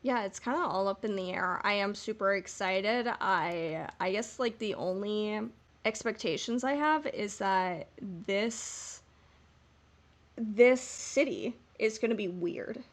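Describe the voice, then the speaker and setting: Female podcast host, mid-pitched voice, informal and slangy, exploratory fan chat. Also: slow pace. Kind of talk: casual fan discussion.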